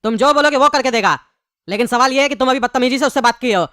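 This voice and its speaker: Cartoon comedy part: grumbling pumpkin convict played in a womanlike voice, always bargaining, Bihari-style Hindi, rapid and raised in pitch, noisy track. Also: close to an everyday voice. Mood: haggling. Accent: eastern Indian (Bihari-style) Hindi. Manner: querulous, complaining, haggling. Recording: clearly noisy background.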